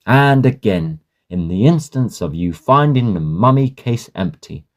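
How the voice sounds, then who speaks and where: A man playing a sceptical, concerned learned gentleman in a Victorian horror drama, with a measured, reasoning, formal manner. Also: on the low side, animated.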